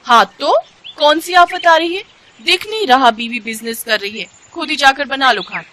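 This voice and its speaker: A woman's voice: sits high, lively intonation, normal speed, pushed and bright, slight background noise, pitched above the performer's own voice. A woman voicing a cheeky, enterprising village wife who sells tea, with a theatrical way of talking, in a bedtime story. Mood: sassy. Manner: sassy, exclaiming, theatrical. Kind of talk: acted dialogue in a bedtime story.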